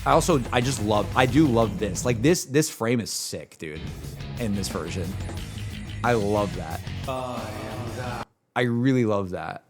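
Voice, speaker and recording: A man's voice: on the low side, expressive, a little slow, pauses often. A man giving casual, slangy reaction commentary as a streamer, hedging his opinions as he goes. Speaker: streamer reacting to game cutscenes. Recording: music playing behind.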